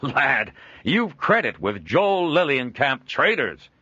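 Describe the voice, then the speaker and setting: A man voicing a jovial middle-aged traveling trader, hearty and quick to laugh. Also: sing-song, bright, forceful tone, slightly rough, no room sound, dull audio, exaggerated voice. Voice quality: hearty, booming, laughs often.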